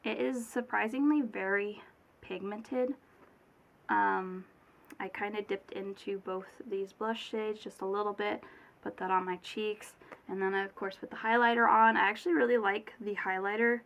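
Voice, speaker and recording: A bright-toned young woman with a cold talks loosely through a beauty unboxing, her voice a little off. She rambles, corrects herself and drifts into asides, in a relaxed, chatty way. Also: mid-range voice, creaky. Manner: chatty, rambling, many fillers and self-corrections. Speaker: beauty vlogger. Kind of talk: casual product unboxing chat.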